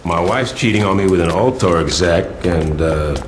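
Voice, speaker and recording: Man acting jaded cop, low voice, sardonic, weary banter, game cutscene, noisy background. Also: moderate pace, close to an everyday voice. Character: jaded, sardonic male cop on guard duty.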